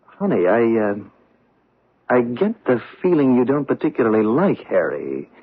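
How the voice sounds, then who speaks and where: An actor playing a genial middle-aged husband in a radio drama, stammering and breezy, often chuckling. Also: male voice, mid-range voice, very animated, moderate pace, husky. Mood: amused. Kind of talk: 1970s radio drama acting. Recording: tinny old radio broadcast.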